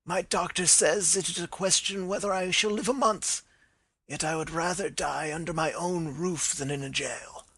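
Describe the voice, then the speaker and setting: Guy playing a dying old man spilling his past, emotional, gravelly, dry sound. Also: fairly high pitch, steady tone, normal speed, pushed and bright, no echo, heavily acted. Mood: grim. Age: older. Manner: emotional, pleading storytelling. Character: dying older man confessing a violent past. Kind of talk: dramatized reading of a detective story.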